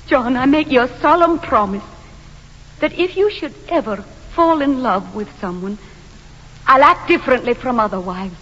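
A woman's voice: high-pitched, expressive, moderate pace, a bit bright. An actress playing a devoted, self-doubting but determined woman in a stage drama, with an earnest, yearning, intense delivery. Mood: tense, subdued. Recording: dull hall recording with hiss.

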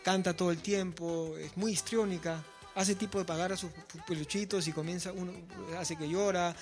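A man's voice: high voice, steady tone, normal speed, soft background music. A Peruvian man in his forties, a musician guest chatting casually and rambling with frequent restarts. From Peru. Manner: chatty, warm-hearted, rambles with many restarts. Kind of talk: casual interview chat.

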